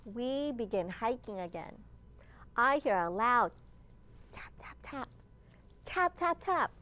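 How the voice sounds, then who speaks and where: A woman librarian reading a picture book aloud for young children, with deliberate phrasing and questions put to her listeners. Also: on the high side, big pitch swings, sharp tone, a little hiss or hum.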